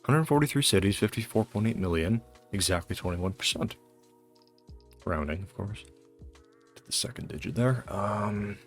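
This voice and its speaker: Male game host, low register, casual and halting self-talk, light music underneath. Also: vocal fry, a bit bright. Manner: halting, muttering to himself. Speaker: host of a geography guessing challenge video. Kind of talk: casual thinking aloud during game commentary.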